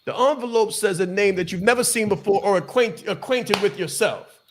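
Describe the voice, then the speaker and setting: A man's voice: high voice, a little fast, noisy background. A man interviewing a guest casually, repeating phrases for emphasis and speaking to the audience in motivational asides.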